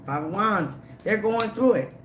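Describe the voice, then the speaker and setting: A tarot reader giving a live reading, emphatic and repetitive, slightly raspy from a cold. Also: medium pitch, lively intonation, unhurried, roomy sound, noisy background. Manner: emphatic, repeats phrases, frequent "okay?" and "all right?".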